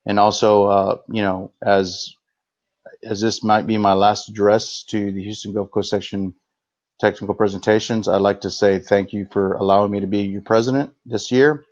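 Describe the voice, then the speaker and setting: A man's voice: lowish voice, little pitch movement, normal speed, a little mellow, muffled recording. A man, the section president, opening an online meeting in a hesitant way with many fillers. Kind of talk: meeting introduction.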